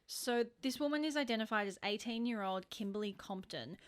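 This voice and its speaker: A woman's voice: on the high side, brisk. An Australian woman co-hosting a true crime podcast, telling the story in an informal, sweary, conversational way.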